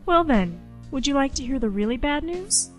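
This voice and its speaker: An actress playing a smug, menacing girl who teases deliberately in a radio play. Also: high voice, lively intonation, faint music behind. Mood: gleefully menacing. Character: smug, menacing girl relishing bad news.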